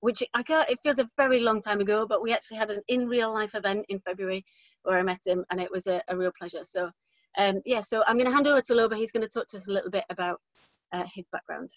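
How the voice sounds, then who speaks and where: Slightly dull, dry video-call audio carries a middle-aged woman. She hosts informally, introducing a speaker in a halting way and rewording as she goes. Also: mid-range voice, steady tone, dry recording. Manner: halting, self-correcting. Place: small indoor room, video call.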